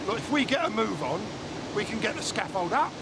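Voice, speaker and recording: An actor playing an impatient, sarcastic veteran scaffolder who dismisses rules, in a naturalistic training film drama. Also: male voice, sits high, very animated, a little fast, creaky, background noise, full character voice. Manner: blunt, sarcastic, defensive.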